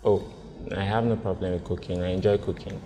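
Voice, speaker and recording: A Nigerian man in his thirties on a blind date, talking in a hesitant, halting way with many restarts. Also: deep voice, fairly even intonation, dark tone, long reverb tail. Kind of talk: casual conversation. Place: indoor restaurant with large windows. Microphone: lapel mic.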